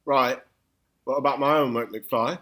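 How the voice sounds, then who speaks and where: A woman's voice: a bass voice, fairly even intonation, normal speed, a bit bright. A woman playing a swaggering, mocking teenage boy bully in an exaggerated comedic table read. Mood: sneering. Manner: taunting, exaggerated.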